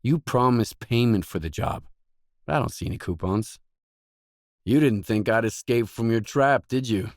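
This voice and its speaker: An actor playing a wary, blunt mercenary stalker in a video game, with terse, dry, matter-of-fact delivery. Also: male voice, medium pitch, normal speed, no room sound, close to an everyday voice. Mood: confrontational. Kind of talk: scripted game voice lines.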